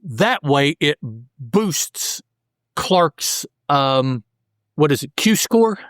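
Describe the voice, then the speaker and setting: A man with a slightly higher-pitched voice hosts a casual podcast recap. He talks through a comic book story colloquially, tossing in joking asides. The sound is close and fairly dry. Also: animated, extremely slow, fairly bright tone, no room sound. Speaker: podcast host.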